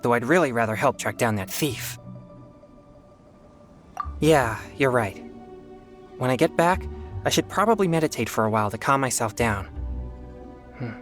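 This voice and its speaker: A woman's voice: extremely low pitch, animated, normal speed, pauses often, soft background music. A woman voicing an earnest, polite teenage boy who is hard on himself, in a video game. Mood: subdued, earnest. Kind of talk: video game character acting.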